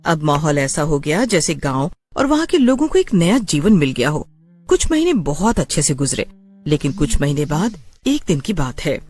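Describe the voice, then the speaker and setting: A man's voice: a little high, very animated, moderate pace, a little mellow. A man narrating a scripted horror story in a dramatic storytelling style.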